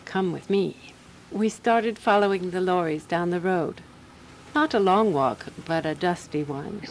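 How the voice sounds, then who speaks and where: An actress playing a calm, practical woman detective in a radio drama, telling her case in a composed, deliberate way. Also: fairly low pitch, a little hiss or hum, poor audio. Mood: measured narrating. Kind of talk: radio drama dialogue and first-person narration.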